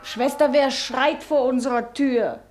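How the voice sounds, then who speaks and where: A woman's voice: sits high, steady tone, a little slow, background noise. A woman playing an anxious, tender and impulsive sister, speaking emotionally exposed rhymed verse in a radio drama. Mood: frightened.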